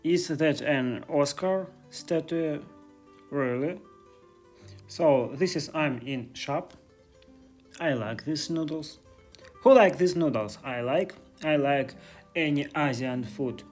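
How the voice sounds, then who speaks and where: A man's voice: mid-range voice, unhurried, faint music behind. A man in his thirties, a native Russian speaker with a Russian accent, chatting curiously in broken English as he vlogs from stores on a handheld phone. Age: 30s. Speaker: vlogger. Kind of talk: casual walking vlog. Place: stores in the city.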